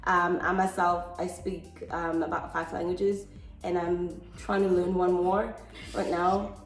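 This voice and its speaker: A chatty South African woman and mother, hosting a casual family Q&A and pausing often with ums. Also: on the low side, flat delivery, echoey room, soft background music. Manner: chatty and hesitant, with frequent ums.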